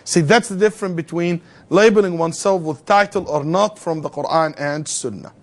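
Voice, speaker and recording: A man teaching a theology class, lecturing in an animated way, firing rhetorical questions at students and adding joking asides. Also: a little high, normal speed.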